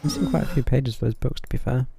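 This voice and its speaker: A man's voice: medium pitch, quick pace, gravelly, background noise. A man streaming a game, giving casual live commentary and muttering half to himself.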